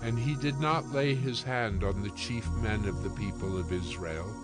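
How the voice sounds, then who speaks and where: A man narrating scripture from a script in a solemn, measured manner. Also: fairly low pitch, over loud music.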